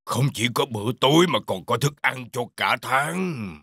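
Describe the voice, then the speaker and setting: A man voicing a big, menacing, gloating wolf villain in a children's cartoon, with a gravelly voice. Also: mid-range voice, expressive, moderate pace, pushed and bright, no echo, exaggerated voice.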